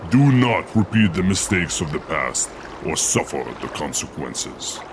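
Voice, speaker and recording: Commanding orc warlord, low voice, measured, stern, game acting, background noise. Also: male voice, sing-song. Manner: authoritative, measured. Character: commanding, stern male orc war chief. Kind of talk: fantasy game cutscene acting.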